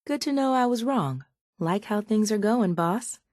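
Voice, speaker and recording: An actress playing a sardonic, calculating raider chemist in a video game, speaking in a dry, measured, faintly condescending way. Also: female voice, medium pitch, lively intonation, darkish tone, dry recording, lightly acted. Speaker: video game character voice actor. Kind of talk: scripted video game dialogue.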